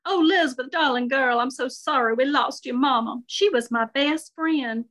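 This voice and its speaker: Woman doing a gushing, high-pitched old Southern lady. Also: normal speed, a bit bright. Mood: gushing sympathy. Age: 70s. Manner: effusive, unfiltered, full of endearments. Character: outrageous seventy-seven-year-old Southern lady, gushing and nosy. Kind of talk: live-style personal storytelling.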